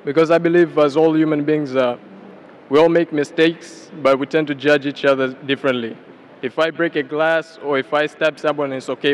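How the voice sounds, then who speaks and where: A man's voice: fairly high pitch, a little hiss or hum. A man from Tanzania asking a speaker a question from the audience, polite and earnest. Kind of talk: question from the floor.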